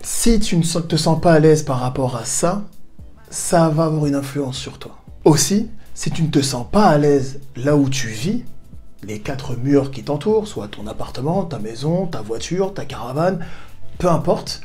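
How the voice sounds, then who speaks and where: Mellow-voiced man coaching viewers. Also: on the high side, lively intonation, moderate pace, faint music behind. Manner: casual, addresses viewer as tu, playful asides. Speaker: self-help video host. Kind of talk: direct-to-camera coaching talk. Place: home in southern Spain.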